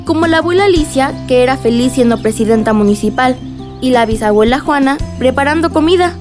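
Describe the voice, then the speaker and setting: An eager, loving ten-year-old girl with a Mexican accent, played by a woman. Her voice is high and earnest, as in a radio drama, with clear music in the recording. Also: animated, a little fast. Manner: earnest, affectionate.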